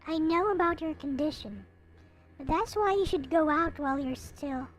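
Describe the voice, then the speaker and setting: Woman reading a gentle, high-voiced young counselor. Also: expressive, normal speed, soft background music, rough recording. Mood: earnest urging.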